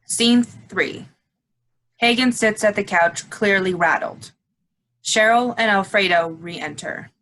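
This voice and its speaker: A woman's voice: mid-range voice, slow, fairly bright tone, a little hiss or hum, poor audio. A woman reading out stage directions as scripted narration at a play's table reading.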